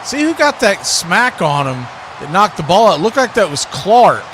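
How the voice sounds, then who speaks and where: An American man with a fairly high voice commentates live football. His delivery is folksy and animated, rising into loud calls as plays unfold. A clear rumble of noise sits behind him. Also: normal speed. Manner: folksy exclamations. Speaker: play-by-play sports commentator on a radio watch party.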